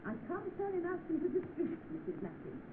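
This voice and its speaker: A woman's voice: very high voice, sing-song, brisk. An actress playing a kindly, motherly working-class landlady in 1930s film style. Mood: troubled. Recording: noisy narrow-band old film soundtrack.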